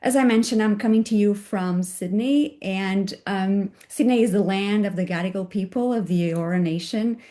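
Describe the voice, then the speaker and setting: A woman lecturing over a video call, her voice raspy as she recovers from a cold. Her tone is mellow and dark, and she speaks at an even pitch with the odd filler. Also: moderate pace, few pauses. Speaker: guest speaker. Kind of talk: academic webinar talk. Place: home at night, joining remotely.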